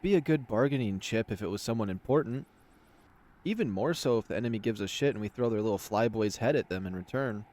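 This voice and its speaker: A man voicing a gruff, reserved male wolf squad leader who talks in a curt grumble in a visual novel. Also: lowish voice, lively intonation, fast talker, darkish tone, lightly acted. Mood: grim, calculating.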